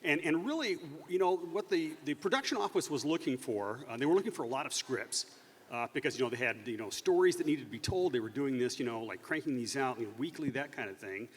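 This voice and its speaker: A man's voice: medium pitch, animated, quick pace, a little hiss or hum. Man explaining in an echoey hall. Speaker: author and panelist. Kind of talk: explanatory panel talk. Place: convention stage before an audience. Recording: echoey hall, stage sound system.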